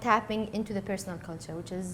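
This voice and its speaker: A woman with a mid-range voice hosts a group session in a home, giving an informal introduction. She explains in short phrases, over a faint rumble of room noise. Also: fairly even intonation, very fast, fairly bright tone. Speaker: host introducing a group cultural experience.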